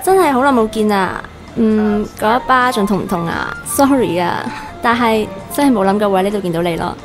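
Woman acting an expressive young Hong Kong woman. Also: medium pitch, fairly quick, music in the background, natural voice. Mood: surprised, apologetic. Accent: Hong Kong Cantonese. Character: emotional young woman, a protective younger sister. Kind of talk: Cantonese radio drama acting.

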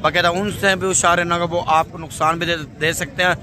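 A man's voice: on the high side, level tone, fairly quick, bright, forceful tone. A man, talking emphatically and repetitively to viewers as a livestock market vlogger. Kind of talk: vlog addressed to camera. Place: open-air livestock market. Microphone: phone at arm's length. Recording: busy outdoor crowd noise.

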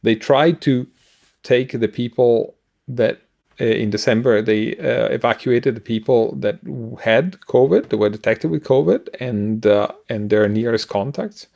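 A middle-aged man co-hosting a casual news discussion over a video call, speaking haltingly with frequent pauses. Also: mid-range voice, gravelly, a little mellow. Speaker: podcast co-host. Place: home room, indoors.

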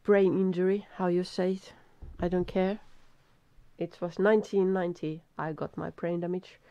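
A middle-aged woman with a Finnish accent, very tired, reading a text aloud in halting English with stumbles and restarts. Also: fairly low pitch, steady tone, warm tone, dry recording, highs cut off. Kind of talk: casual self-recorded reading practice. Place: small room at a desk.

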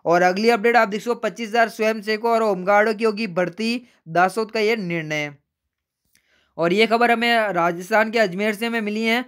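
High-voiced man reading news updates. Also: a little fast, fairly bright tone. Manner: chatty, addresses viewers as friends. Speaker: YouTube news channel host. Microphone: voice-over on screen recording.

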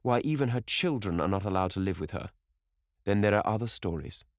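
A man's voice: on the low side, animated, brisk, no echo, studio quality, voice pushed up. A man voicing an older male painter who earnestly pleads with a friend, rising to urgent outbursts. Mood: pleading.

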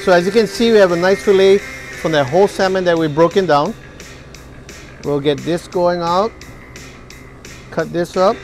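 A chef in his forties talks viewers through his recipes in a restaurant kitchen. His voice sits high, and he keeps an upbeat, hyped-up tone. Faint music plays underneath. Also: moderate pace, long pauses, a little mellow. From Hawaii. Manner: upbeat, hypes the food to viewers. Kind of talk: cooking demonstration.

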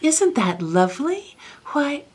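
An eager little boy king from a storybook, voiced by a woman reading aloud, lifted in pitch. He is curious, bookish and impatient, quick to cut in. A faint noise sits behind the voice. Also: very animated, lightly acted. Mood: excited.

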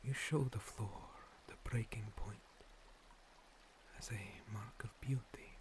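A man playing an ancient, gentle monster priest, a scarred former paladin, in a breathy, halting, soothing ASMR roleplay whisper. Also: on the low side, fairly even intonation. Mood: tender, wistful. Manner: slow, soothing, halting pauses. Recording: water streaming and pouring behind. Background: running water.

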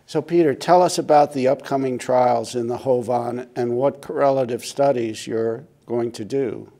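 Mellow middle-aged American man moderating. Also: medium pitch, fairly even intonation, normal speed. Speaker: panel moderator, doctor. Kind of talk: interview questioning. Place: indoor conference interview set.

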